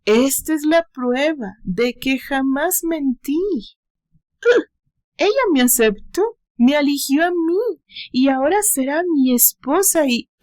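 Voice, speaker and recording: A woman voicing a drunk, heartbroken rich man in an audio story, with slurred, drawn-out, emotional delivery. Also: sits high, pitched above the performer's own voice. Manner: emotional, broken by gasps and bitter laughs. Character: drunk, heartbroken wealthy male tycoon, bitter and pleading.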